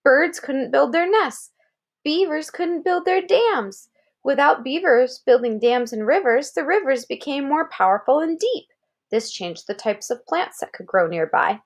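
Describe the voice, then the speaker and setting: A woman's voice: sits high, expressive, measured pace. An American woman reading a children's article aloud like a parent, expressively, with explanatory asides and questions to check understanding.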